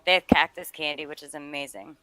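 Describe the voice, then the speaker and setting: A woman co-hosting a casual live show, animated and blunt. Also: low-pitched, fairly quick, a crowd in the background, low-quality recording. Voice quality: slightly nasal. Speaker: co-host.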